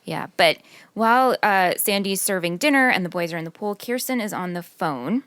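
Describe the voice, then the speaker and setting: A woman's voice: mid-range voice, expressive, normal speed, a bit bright, clear, resonant tone, dry recording. A woman co-hosting a podcast, recapping story events in a casual chat and agreeing often. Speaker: podcast co-host.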